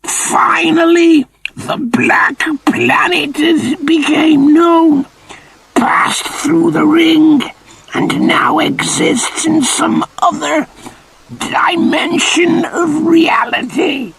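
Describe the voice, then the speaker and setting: Shrill, creaky, officious chimp creature. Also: male voice, steady tone, a bit bright, full character voice, poor audio. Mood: grave, strained. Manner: slow, deliberate, pompous pronouncements.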